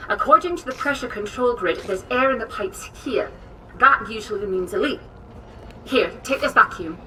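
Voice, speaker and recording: An actress playing a brisk, eccentric captive woman scientist in a video game, bossy and chipper with a British accent. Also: fairly high pitch, lively intonation, bright, forceful tone, distant mic, slight background noise, low-quality recording. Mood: brisk, businesslike. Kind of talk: video game character acting.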